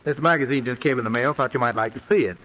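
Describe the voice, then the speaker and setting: A man's voice: mid-range voice, racing, a bit bright, lightly acted. A man playing a scheming, menacing nephew with false politeness in an old-time radio horror drama. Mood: falsely polite. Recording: noisy old radio recording.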